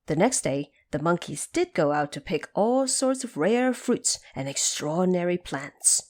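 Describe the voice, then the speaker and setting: A woman storyteller giving a dramatic, expressive scripted narration of a tale. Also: on the low side, unhurried. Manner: expressive, theatrical.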